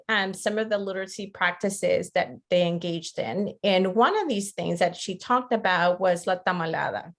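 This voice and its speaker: A woman presents an interactive online lecture in a conversational way, often checking in with her listeners. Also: mid-range voice. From Costa Rica. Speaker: webinar presenter, teacher educator.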